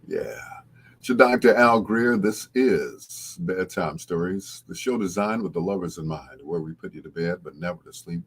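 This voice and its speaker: A man hosting a late-night music radio show, chatting casually and informally to his live listeners in a husky voice. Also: deep voice, moderate pace. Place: broadcasting on radio and live video stream.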